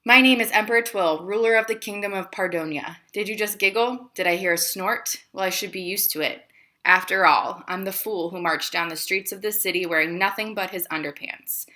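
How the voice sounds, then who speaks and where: Woman reading as bright-voiced, chatty storybook emperor Twill. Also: mid-range voice, a little fast, close to an everyday voice. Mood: wry, sheepish. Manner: expressive, confiding storytelling with rhetorical questions. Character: embarrassed but clever male storybook emperor, chatty and self-justifying. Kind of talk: children's storybook read-aloud.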